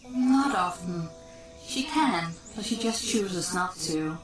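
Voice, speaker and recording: A woman's voice: fairly low pitch, lively intonation, takes it slowly, echoey room, soft background music, rough recording. A woman playing a level-headed, blunt ghost in a Western audio drama, with an American accent and wry delivery. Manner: wry, matter-of-fact.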